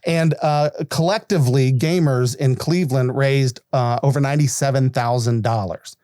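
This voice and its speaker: A middle-aged man hosting a casual podcast, good-humored, talking in a halting, meandering way. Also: mid-range voice, steady tone, mellow, dry recording, clean studio sound. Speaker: podcast host.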